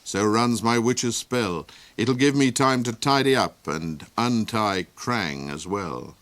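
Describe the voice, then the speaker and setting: A man voicing a calm, knowing servant who speaks in a dry, matter-of-fact way. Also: on the low side, slow pace. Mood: wry.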